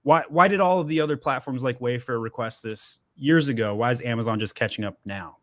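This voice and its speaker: A man's voice: mid-range voice, a little fast, a bit bright, no echo. A man hosting a live Q&A, chatty and jokey, who goes off on tangents and laughs easily. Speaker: host.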